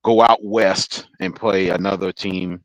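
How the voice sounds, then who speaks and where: Low-voiced rambling football fan. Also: male voice, fairly even intonation, no room sound, low-quality recording. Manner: rambling, halting, chuckles often. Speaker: guest fan. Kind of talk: casual sports chat.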